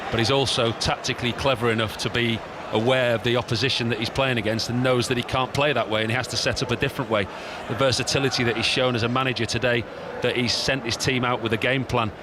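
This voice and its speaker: A man's voice: medium pitch, steady tone, fast, hardly any pauses. A man working as co-commentator, giving live, explanatory match analysis and restating his points, with stadium ambience behind. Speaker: co-commentator and pundit. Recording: clear ambient noise behind.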